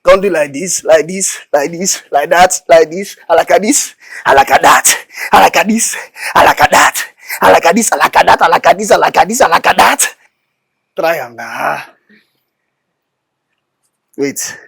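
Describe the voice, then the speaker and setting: An actor playing an eager, devoted young house helper in a film drama, with a Nigerian accent and emphatic, repetitive delivery. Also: male voice, high voice, big pitch swings, moderate pace, breathy edge, exaggerated voice. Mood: playful, excited.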